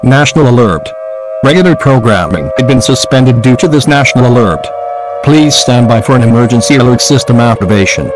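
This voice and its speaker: A man's voice: mid-range voice, expressive, a little fast, music in the background, low-quality recording. A synthetic-sounding man reading a formal, scripted emergency alert announcement.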